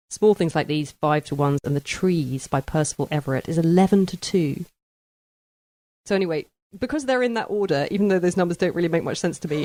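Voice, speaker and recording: A woman podcast host with a fairly low voice, recorded close and dry. She talks through books in a thoughtful, conversational way. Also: a little mellow, no room sound. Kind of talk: book discussion chat.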